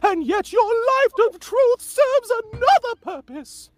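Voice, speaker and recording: A woman voicing a solemn, grandiose elder fairy guardian in a theatrical, drawn-out table read of a fantasy game script. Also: extremely high pitch, sing-song, exaggerated voice. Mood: urgent, grave. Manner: theatrical, drawn-out, breaks into flustered asides.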